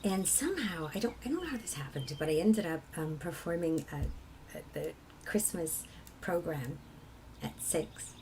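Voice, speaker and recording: A woman from England with a low, husky voice, a singer telling personal stories in a reflective conversation. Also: lively intonation, moderate pace, a little mellow, slight background noise, rough recording. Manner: reflective, laughs often while telling stories. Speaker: kirtan singer.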